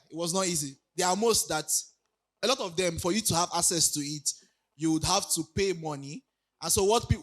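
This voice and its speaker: A young man speaks at a conference, his voice raspy as he recovers from illness. He sits a little higher than most men and stops often to hesitate or restart a thought. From Nigeria. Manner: hesitant, many ums and restarts, laughs lightly. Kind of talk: casual conference talk. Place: conference hall.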